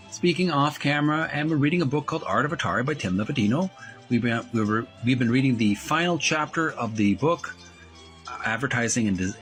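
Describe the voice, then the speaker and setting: A man hosting a video channel, reading aloud and commenting in a halting way, often repeating and correcting his phrases. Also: a little high, fairly bright tone. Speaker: host of a retro video game channel. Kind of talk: read-aloud book walkthrough with commentary.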